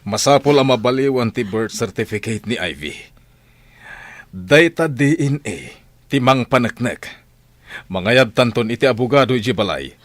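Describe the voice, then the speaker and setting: A man's voice: mid-range voice, animated, normal speed, a bit bright, no echo, close to an everyday voice. A man acting a concerned male character in a Filipino family radio drama. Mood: troubled, resolute.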